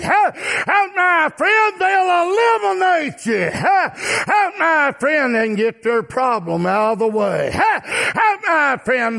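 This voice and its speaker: A man's voice: high-pitched, vocal fry, a bit bright. A middle-aged or older man with a Southern Appalachian accent preaching a fervent, folksy sermon in a rhythmic chant, gasping between phrases and repeating stock refrains. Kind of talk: fervent old-time extempore sermon with anecdotes.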